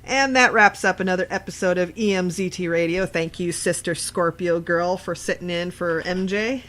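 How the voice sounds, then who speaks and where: A woman's voice: on the low side, normal speed, a bit bright, faint music behind. A woman hosting a horror podcast, chatting casually in a salty, emphatic way and swearing freely.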